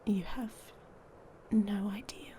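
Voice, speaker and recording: A woman playing a haughty, domineering female werewolf in an intimate ASMR roleplay, mocking and commanding, often dropping into a breathy whisper. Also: mid-range voice, steady tone, takes it slowly, warm tone, a little hiss or hum, natural voice. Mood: seductive, teasing. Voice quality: husky, breathy.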